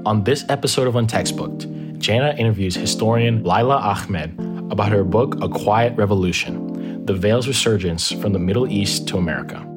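Male podcast host, lowish voice, measured scripted narration, guitar music underneath. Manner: measured, reflective, rhetorical questions. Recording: music bed behind the voice.

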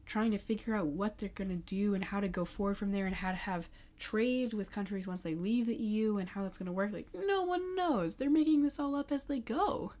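A young woman with an American accent, co-hosting a podcast in quick, opinionated casual chat full of "like" fillers. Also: mid-range voice, darkish tone. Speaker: podcast co-host.

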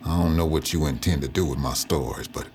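Very deep-voiced stern old man. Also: a little fast, darkish tone. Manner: grave, folksy, admonishing. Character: stern, aged storyteller man, easily offended. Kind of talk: audio drama acting. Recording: faint ambient noise.

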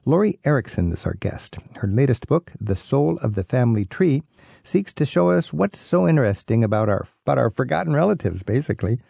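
A man's voice: mid-range voice, sing-song, brisk, gravelly, warm tone, husky, dry recording. A man hosting a radio travel show, interviewing a guest in a casual, chatty, conversational way.

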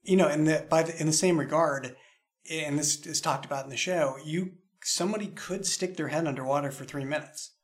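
Higher-pitched man chatting casually. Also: a little fast. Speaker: podcast creator in a cast discussion. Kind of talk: casual behind-the-scenes chat.

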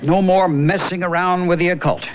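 An actor playing Bill, an easygoing young man with a relaxed, wry, joking manner, in a 1970s radio drama. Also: high voice, moderate pace, slight background noise, close to an everyday voice. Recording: dull lo-fi radio broadcast.

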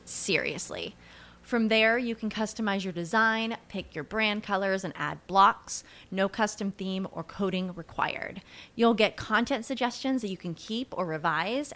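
Casting: woman, mid-pitched voice, scripted commercial narration for a product. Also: a bit bright. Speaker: advertising announcer.

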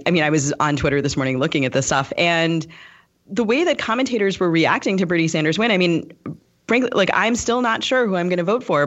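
A woman co-hosting a podcast, talking casually in an informal and opinionated way. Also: fairly low pitch. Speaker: podcast co-host. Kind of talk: casual conversational discussion. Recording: dull, lo-fi audio.

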